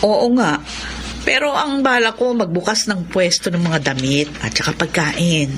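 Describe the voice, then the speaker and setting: A woman's voice: lowish voice, a little slow, a bit bright, slight background noise. A woman playing a caring, practical wife and mother in a Filipino radio drama, earnest and comforting, with a Filipino accent. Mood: pleased. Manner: consoling, earnest.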